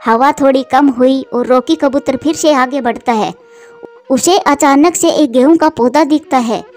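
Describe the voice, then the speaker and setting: A woman with a high voice narrates a scripted cartoon story for children. She works as the storyteller between the characters' lines. Music runs behind her. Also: a little mellow.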